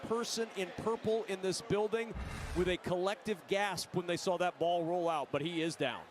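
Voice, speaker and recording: A man's voice: high-pitched, steady tone, brisk, crowd noise. A man calling American football play-by-play for a broadcast, with polished, dramatic delivery. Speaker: play-by-play sports announcer.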